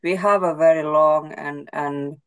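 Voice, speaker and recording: A woman's voice: sits low, steady tone, a little slow, clean tone. A Swedish-speaking woman astrologer, answering interview questions thoughtfully with frequent restarts. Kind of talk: casual interview.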